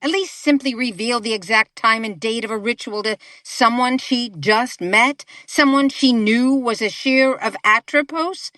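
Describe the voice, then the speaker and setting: A woman's voice: on the high side, moderate pace, hardly any pauses, pushed and bright, no room sound, clean studio sound. A woman playing a scornful, condescending society matron. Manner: condescending, mocking. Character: older woman society member, scornful and cynical. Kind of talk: audio drama acting.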